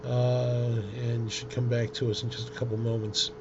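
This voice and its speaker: A man presenting an informal software tutorial in a halting, hesitant way, with frequent ums. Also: mid-range voice, monotone. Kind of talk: informal screen-share software demonstration. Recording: dull lo-fi audio with loud noise.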